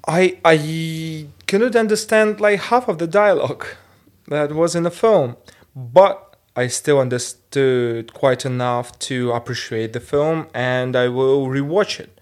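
Slow, hesitant man. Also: a little high. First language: Russian. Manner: hesitant, searching for English words. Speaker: podcast co-host. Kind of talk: casual film discussion.

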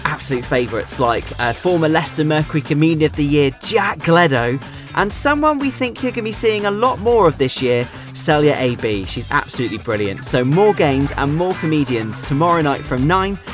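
A man hosting a radio show, interviewing a guest and running a quick-fire question game. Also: fairly high pitch, lively intonation, faint music behind.

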